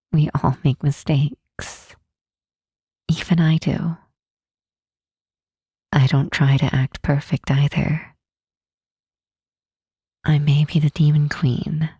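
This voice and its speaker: A woman playing a gentle, reassuring Demon Queen in an ASMR comfort roleplay, speaking in a soft, husky half-whisper with slow, soothing earnestness. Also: low-pitched, dry recording, studio quality, full character voice. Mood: tender, reassuring.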